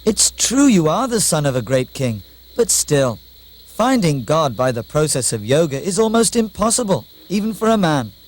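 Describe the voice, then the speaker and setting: A man playing a wise, measured sage. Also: on the high side, sing-song. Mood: gently testing. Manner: measured, didactic, formal. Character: wise, calm sage, kindly instructing and testing. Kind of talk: puppet show dialogue, measured formal delivery.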